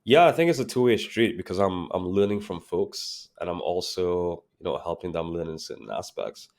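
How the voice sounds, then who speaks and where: A man from Trinidad and Tobago, a creative director and interview guest, talking in a relaxed, reflective way with many fillers. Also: sits low, normal speed. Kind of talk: casual interview conversation.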